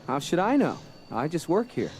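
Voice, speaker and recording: An actor playing an earnest, edgy young rebel hero in a space opera audio drama, slightly impatient. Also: male voice, fairly high pitch, sing-song, normal speed, a little mellow. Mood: bluffing.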